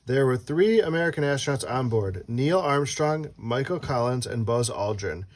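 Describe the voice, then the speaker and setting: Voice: medium pitch, expressive, normal speed. Someone reading a children's book aloud as host, playfully asking a child questions and adding casual asides.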